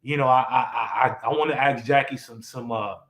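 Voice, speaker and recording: A man's voice: medium pitch, brisk, a bit bright. Young male streamer host, loose rambling delivery, echoey room sound. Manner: laid-back and rambling, with many fillers and restarts. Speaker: podcast host. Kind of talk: casual live-stream chat.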